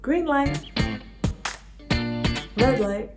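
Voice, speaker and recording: A woman's voice: high-pitched, animated, music in the background. A woman hosting a children's video, reading a rhyming picture book aloud and leading kids in games. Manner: slow rhythmic rhyming read-aloud; bright sing-song teacher voice for kids. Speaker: children's show host.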